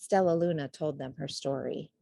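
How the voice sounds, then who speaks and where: A woman reading a children's storybook aloud as an expressive narrator. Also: low-pitched, normal speed, vocal fry, no room sound, clean studio sound. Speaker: storybook reader.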